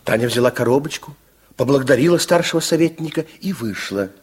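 A man narrating a fairy-tale radio play from the 1960s in an expressive, theatrical way. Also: medium pitch, fairly quick, husky. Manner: theatrical, storytelling.

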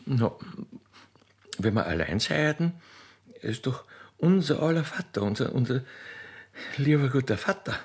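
A man voicing a naive, chatty railway keeper's daughter with an Austrian accent in a radio play, halting and repeating words eagerly. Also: mid-range voice, lively intonation, slightly rough. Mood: dreamy, admiring. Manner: halting, repetitive, rustic and eager. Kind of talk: radio play character acting.

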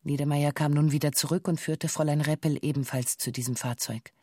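An actress playing a former bank hostage who gives a formal, precise witness statement in a sober manner for a documentary radio drama. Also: female voice, deep voice, steady tone, brisk, mellow, breathy edge, dry recording, clean studio sound. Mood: sober recounting. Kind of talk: documentary radio drama, reading a police testimony.

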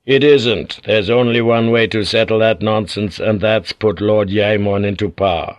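A man voicing a calm older warlord who speaks deliberately and with quiet command. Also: fairly low pitch, no echo, lightly acted. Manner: deliberate, controlled.

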